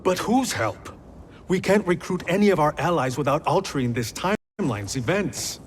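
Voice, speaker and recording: A man's voice: on the high side, animated, moderate pace, a little hiss or hum, close to an everyday voice. An actor playing a stern, wary and noble warrior shaman in a video game cutscene, firm and earnest. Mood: skeptical, firm. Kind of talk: cinematic video game acting.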